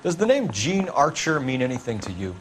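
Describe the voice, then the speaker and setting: An actor playing a stern, clipped young police detective in a crime drama video game, formal and pressing. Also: male voice, mid-range voice, sing-song, brisk, natural voice. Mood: stern, threatening. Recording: music behind the voice.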